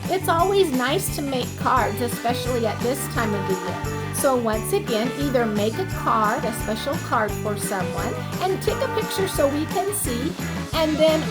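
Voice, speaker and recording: A woman reading a picture book aloud for young children in an expressive teacherly way, pausing to explain words simply. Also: high voice.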